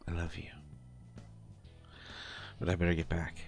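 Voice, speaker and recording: Man acting as a slow, deep-voiced, bashful boyfriend. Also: completely flat delivery, gravelly, faint music behind. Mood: tender, halting. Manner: halting, stammering, gently teasing. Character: affectionate, bashful man comforting his pregnant partner. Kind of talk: intimate roleplay audio acting, one side of a conversation.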